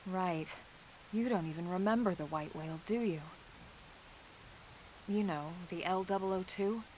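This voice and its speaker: A woman playing a composed, reassuring female sci-fi soldier in a video game cutscene, steady and matter-of-fact. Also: on the low side, measured pace, lightly acted, low-quality recording. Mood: calm, reassuring. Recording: faint rain and hiss behind.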